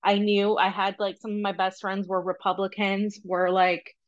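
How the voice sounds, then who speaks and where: A woman's voice: mid-range voice, level tone, lo-fi sound. A young woman from Peru who grew up in Florida, a podcast guest talking casually and rambling with many ums. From Peru, raised in Florida. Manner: chatty and rambling, with many ums and quick asides. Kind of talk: casual interview talk.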